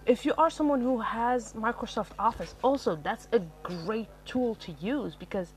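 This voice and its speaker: A woman from Algeria, a native Arabic speaker, talks through writing advice in a loose, meandering podcast monologue. She corrects herself often and leans on fillers, with soft music playing faintly under her voice. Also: a little high, normal speed. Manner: rambling, self-correcting, lots of fillers. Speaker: podcast host. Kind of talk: solo podcast talk on writing.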